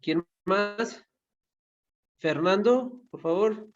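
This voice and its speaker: Male class host, notably high voice, halting informal delivery, dull muffled call audio. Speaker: masterclass host. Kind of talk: informal online teaching, inviting participants.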